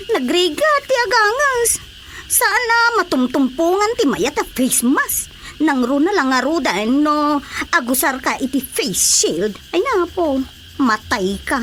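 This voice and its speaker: A woman playing a pleading, complaining village woman with an Ilocano accent in a comic radio drama, plaintive and drawn-out. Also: sits high, big pitch swings, a bit bright, a little hiss or hum, full character voice.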